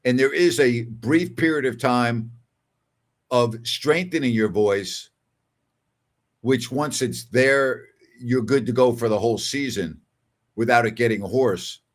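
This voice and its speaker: A man's voice: medium pitch, slow pace. An older man hosting a casual sports call-in show, talking in a conversational, emphatic way and repeating phrases for emphasis. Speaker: sports talk show host. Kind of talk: casual call-in show chat reading listener messages.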